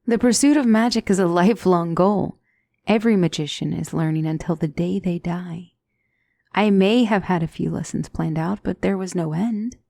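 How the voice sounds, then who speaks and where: Woman acting as a gentle demon tutor lady, lowish gravelly voice, formal and soothing, fantasy asmr. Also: no room sound, clean studio sound. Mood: calm reassurance. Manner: formal, measured, reassuring diction. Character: gentle demonic tutor, female mentor to a young royal. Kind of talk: soft ASMR fantasy roleplay.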